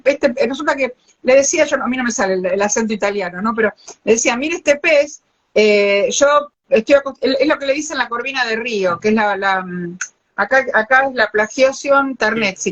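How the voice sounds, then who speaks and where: A woman's voice: a little high, lo-fi sound. An older woman from Argentina with a Rioplatense accent, a biologist hosting a live interview and telling stories with many restarts. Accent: Rioplatense Spanish. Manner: animated storyteller, many restarts. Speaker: host, biologist. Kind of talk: live interview, casual chat. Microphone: video call.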